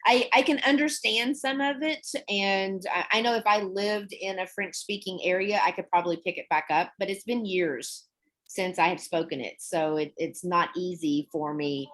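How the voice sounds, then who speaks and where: A young adult woman teaching an online English class, explaining words in a chatty, relaxed way and laughing often. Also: medium pitch, normal speed.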